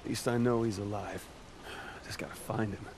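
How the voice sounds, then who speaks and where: A man's voice: lowish voice, steady tone, normal speed, background noise, natural voice. An actor playing a cocky, vengeful young male antihero in a video game. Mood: brooding, determined. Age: young adult.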